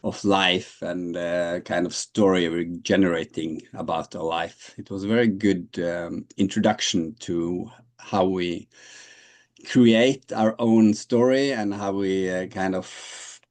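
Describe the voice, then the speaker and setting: A man's voice: low voice, steady tone, takes it slowly, a little mellow. A man in his late forties from Norway, answering interview questions in a hesitant way, with many fillers and restarts. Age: 40s. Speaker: guest.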